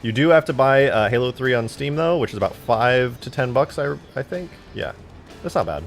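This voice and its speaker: A man's voice: mid-range voice, expressive, normal speed, soft background music. A man in his twenties chatting casually on a live stream while modding a game, rambling, joking and swearing freely. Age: 20s.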